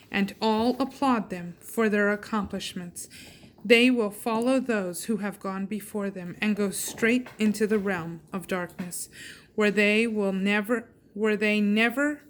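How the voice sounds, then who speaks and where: A woman reading scripture aloud with measured, deliberate delivery. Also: medium pitch. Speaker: reader and reading coach.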